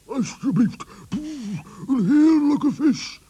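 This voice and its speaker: A man voicing a gruff, jokey old walrus in a children's radio play, puffing and blustering as he talks. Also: very high voice, lively intonation, slow pace, mellow, dull audio, pitched above the performer's own voice, full character voice. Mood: obliging. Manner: puffing, blustering, stammering repeats. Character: grim but jokey old walrus, scornful and huffing.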